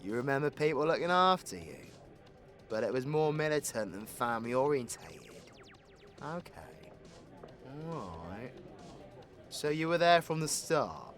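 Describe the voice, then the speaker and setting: A man's voice: medium pitch, expressive, normal speed, fairly bright tone, faint music behind, natural voice. A British man playing a cocky, foul-mouthed supervillain in an audio roleplay, with a crude, playful, conversational swagger. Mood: curious, probing. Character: cocky, foul-mouthed male supervillain, orphaned, teasing his drinking partner. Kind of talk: audio roleplay addressed to the listener.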